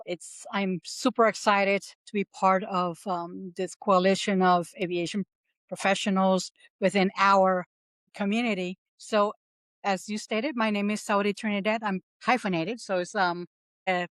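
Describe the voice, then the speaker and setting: A woman speaks as an interview guest. She tells her story earnestly, stopping often on small fillers, at a medium pitch. The sound is dry and close, with no room around it. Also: a bit bright, dry recording. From Puerto Rico. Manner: earnest storytelling with frequent "um" pauses. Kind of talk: podcast interview.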